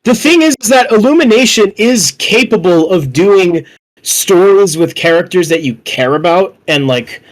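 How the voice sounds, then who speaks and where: A man's voice: sits high, animated, normal speed, rough recording. A young man casually discussing films on a podcast, rambling and opinionated, with plenty of swearing. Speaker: podcast panelist.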